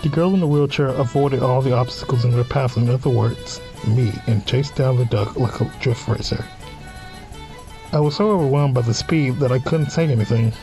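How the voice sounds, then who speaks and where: A man's voice: medium pitch, normal speed, mellow, poor audio. A man reading a visual novel aloud as a let's play host, dropping in informal asides and reactions. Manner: informal asides between read-out lines. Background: game music.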